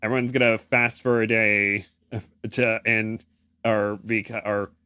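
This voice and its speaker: A man co-hosting a casual podcast, jokey and rambling, often halting and correcting himself mid-sentence. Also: fairly low pitch, little pitch movement, pushed and bright, smooth, no echo, studio quality. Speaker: podcast co-host.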